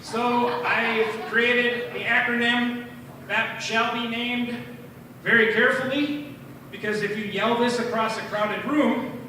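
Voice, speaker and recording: Casting: middle-aged American male amateur comic, high voice, rambling and halting, recorded from a distance in a very reverberant room. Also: steady tone, bright, forceful tone. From Minnesota, United States. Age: 40s or older. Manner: rambling, halting delivery with tangents. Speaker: amateur stand-up comedian at an open mic. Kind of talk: stand-up comedy set. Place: bar open mic room. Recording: very echoey, distant room recording, clear noise.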